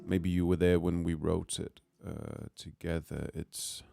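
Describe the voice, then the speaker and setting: A man, hoarse after a cold, chatting casually to a livestream from his studio and thinking aloud with many pauses and fillers. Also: low-pitched, flat delivery, dry recording, soft background music. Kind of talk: casual chat to a livestream audience. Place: music studio. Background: his own track playing quietly underneath.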